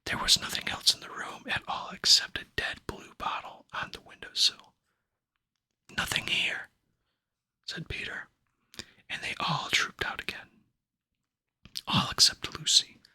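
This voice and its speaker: A man whispering an ASMR reading of a children's fantasy novel, gentle and unhurried, with pauses between phrases, close to the microphone. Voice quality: breathy unvoiced whisper. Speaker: ASMR book reader.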